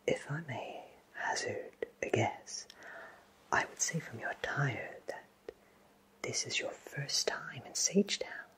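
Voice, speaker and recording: A man whispers right into the mic, playing a cheerful fantasy potion shopkeeper. His delivery is slow and genteel, with theatrical pauses. The whisper is crisp and bright, made for ASMR roleplay. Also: mid-range voice, rough recording. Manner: theatrical, genteel, alliterative phrasing with deliberate pauses. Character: cheerful, courteous, flowery-spoken potion shopkeeper in a fantasy town. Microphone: close microphone.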